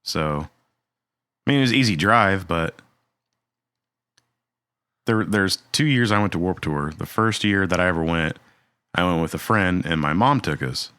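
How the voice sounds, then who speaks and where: Deep-voiced man chatting casually. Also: moderate pace, long pauses, no room sound. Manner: relaxed, rambling, many fillers and restarts. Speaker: podcast co-host. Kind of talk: casual chat.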